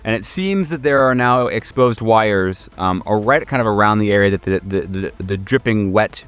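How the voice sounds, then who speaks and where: A man narrating a tabletop game as game master in a casual, halting, wryly playful way, often searching for words. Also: lowish voice, expressive, a bit bright, smooth, dry recording, soft background music. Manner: wry, playful, slangy.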